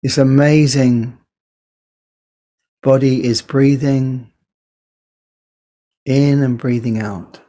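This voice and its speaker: A man leading a guided body scan meditation as a teacher, speaking gently in short phrases with unhurried pauses. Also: medium pitch, steady tone.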